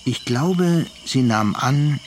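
A man's voice: mid-range voice, lively intonation. An actor playing an earnest, troubled, reflective man who narrates and speaks in a radio drama. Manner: earnest, deliberate. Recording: clear background noise.

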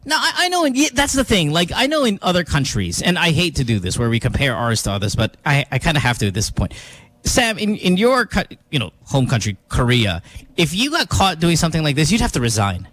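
A man hosting a casual radio panel chat, talking in a joking, rambling, animated way. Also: on the high side, fairly quick, no echo. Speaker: radio show host.

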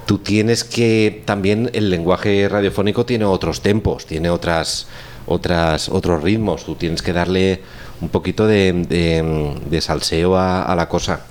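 A man's voice: low voice, fairly even intonation, a little slow. A man with an accent from Spain, talking casually and explaining as a guest teacher. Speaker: audio drama teacher and guest. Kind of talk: casual explanatory chat with anecdotes. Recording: clear background noise, room ambience.

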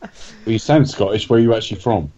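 Cohost, sarcastic teasing, kinda muffled mic. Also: male voice, lowish voice, lively intonation, quick pace, dark tone. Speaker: podcast co-host. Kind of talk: casual chat. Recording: slightly dull mic.

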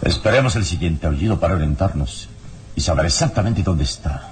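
An actor playing a calm, watchful heroic adventurer in a radio serial, speaking in a solemn, deliberate manner. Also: male voice, deep voice, a little hiss or hum. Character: calm, watchful heroic adventurer mentoring his young companion. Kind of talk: old radio serial acting.

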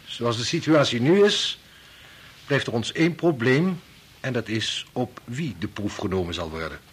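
An actor with a Netherlands Dutch accent playing a decisive, matter-of-fact medical researcher steering a meeting in a radio drama. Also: male voice, mid-range voice, very animated, normal speed, slightly rough. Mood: measured, firm.

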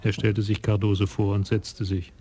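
A man narrating a radio crime play in a measured storytelling manner. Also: on the low side, level tone, dark tone, slightly rough.

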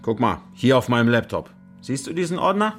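An actor in a radio drama playing a smug Syrian army officer who talks in a condescending, mock-friendly, persuasive way. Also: male voice, medium pitch, very animated, a little fast, soft background music, natural voice. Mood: mock-apologetic. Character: Syrian army officer, smug, calculating, coolly menacing blackmailer. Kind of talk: radio drama acting, naturalistic dialogue.

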